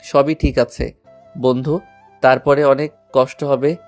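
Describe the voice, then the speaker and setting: A man's voice: mid-range voice, little pitch movement, slow, natural voice. A man plays a devoted schoolboy in an audio love story. He is earnest and sentimental, with little rise and fall in his voice. Faint music plays behind him. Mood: yearning, determined. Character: lovesick, devoted schoolboy, respectful to elders. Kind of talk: Bengali audio story acting.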